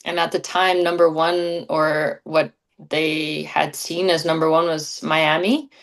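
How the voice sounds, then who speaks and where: A young woman from Brazil, a guest on a podcast, talking in a relaxed, casual way and laughing often. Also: low voice, unhurried. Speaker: guest athlete. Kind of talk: podcast interview.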